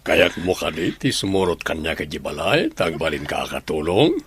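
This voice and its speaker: A man playing a kindly, concerned stranger in an audio drama, asking gently in a northern Philippine accent. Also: a bass voice, very animated, moderate pace, slightly rough, heavily acted. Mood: persuasive. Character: concerned, kindly male stranger offering work.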